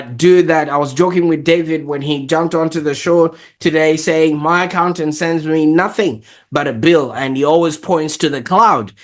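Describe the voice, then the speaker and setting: Upbeat podcast host man, fairly high voice. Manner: upbeat and chatty, with light laughs. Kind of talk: podcast introduction and interviewing.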